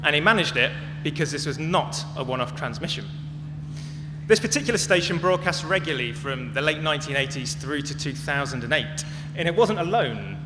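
A man's voice: a little high, expressive, a little fast. A man speaking as a conference presenter, explaining things in a prepared public talk. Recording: echoey hall with clear hum and noise.

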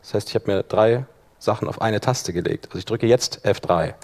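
A man presenting an informal live software workshop, talking casually and thinking aloud as he demonstrates. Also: lowish voice, fairly quick. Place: live talk in a conference room.

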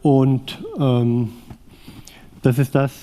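A man in his forties from southwest Thuringia presents a technical talk, thinking aloud as he goes. His voice is very dark and mellow, and he keeps stopping and restarting with many fillers. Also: medium pitch, flat delivery, very slow. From southwest Thuringia, East Germany. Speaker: conference speaker presenting a vintage graphics device.

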